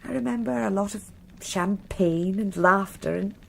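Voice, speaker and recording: An actress playing a frank, wistful married British woman in a radio crime drama, with a breathy voice. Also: mid-range voice, moderate pace, slight background noise, lightly acted. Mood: wistful, reminiscing.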